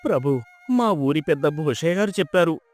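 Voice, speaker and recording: A man's voice: a little high, animated, normal speed, faint music behind, close to an everyday voice. A man playing a humble, timid, honest villager in a folk tale, speaking in an earnest, deferential way. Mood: fearful, pleading. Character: humble, timid, honest male villager pleading before king. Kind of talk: dramatised folk-tale acting.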